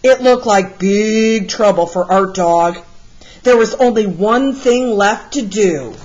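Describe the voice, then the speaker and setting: Slow-paced woman reading a children's story. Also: mid-range voice. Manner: deliberate, with animated emphasis for young listeners. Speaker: art teacher reading a picture book aloud. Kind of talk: expressive read-aloud storytelling for children. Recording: slightly muffled, lo-fi sound.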